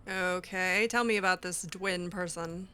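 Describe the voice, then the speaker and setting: A woman's voice: fairly low pitch, steady tone, measured pace, sharp tone, clear, resonant tone, faint music behind. A woman hosting a role-play gaming video, commenting casually in character with an exasperated, sardonic manner.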